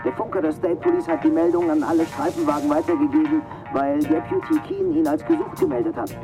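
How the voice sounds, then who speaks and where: Male reader as brisk, dutiful deputy making a formal report, higher voice, prominent music bed. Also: sing-song, vocal fry. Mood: dutiful, urgent. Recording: music under the voice.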